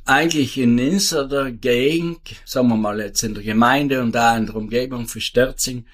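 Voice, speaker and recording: A man in his seventies with a South Tyrolean German accent, a retired farmer who answers interview questions in a rambling, halting way. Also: medium pitch. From South Tyrol, Italy. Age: 70s. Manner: rambling, halting, with many restarts and fillers.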